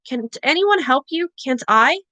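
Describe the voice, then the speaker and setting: Woman voicing an anxious, hushed teenage boy. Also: high-pitched, expressive, unhurried, no room sound, full character voice. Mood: nervous. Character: nervous polite teenage boy wizard.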